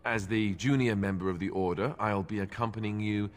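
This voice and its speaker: An actor playing a wry young warrior recruit in a fantasy video game, with a glib, sarcastic way of talking. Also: male voice, lowish voice, steady tone, fairly quick, natural voice. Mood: sheepish, friendly.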